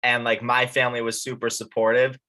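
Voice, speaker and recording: A man from upstate New York hosts a casual podcast chat. He talks loosely and conversationally, dropping "like" every few words, and his voice has a bright, forward edge. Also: medium pitch, level tone, a little fast. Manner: chatty, with frequent "like" fillers. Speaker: podcast host.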